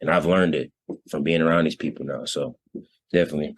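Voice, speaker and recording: Very deep-voiced young man, casual and slangy. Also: fairly even intonation, quick pace, slightly rough. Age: early 20s. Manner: informal, slangy, frequent 'you know'. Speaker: college basketball player, interview guest. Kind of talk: casual, conversational interview answers.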